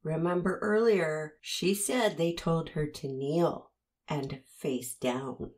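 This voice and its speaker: A woman's voice: low-pitched, slow pace, darkish tone. A woman hosting a commentary, analyzing an interview and pressing the listener with pointed rhetorical questions. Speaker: host analyzing interview footage.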